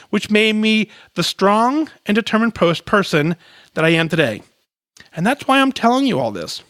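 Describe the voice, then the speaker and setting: A man hosting a podcast, reading a listener's letter aloud in an earnest, measured way, letting sentence endings fall softly. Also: high-pitched, no echo, studio quality. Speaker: podcast host.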